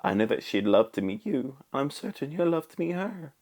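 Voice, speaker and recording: A man reading a storybook aloud and voicing a plucky young female duck in a high, sweet, polite voice. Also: very animated, brisk, a little mellow. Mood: sweet coaxing.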